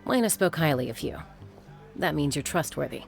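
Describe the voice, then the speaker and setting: A cool, self-assured warrior woman in a video game. Her voice is deep and gravelly with composed delivery, over faint music. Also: sing-song, moderate pace, heavily acted.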